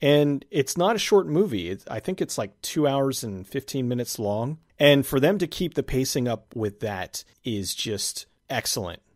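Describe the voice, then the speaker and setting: Raspy-voiced man reviewing a movie. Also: medium pitch, sing-song, normal speed, hardly any pauses, dry recording, clean studio sound. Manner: enthusiastic, conversational, casual swearing. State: raspy, nearly losing his voice. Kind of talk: casual spoken movie review.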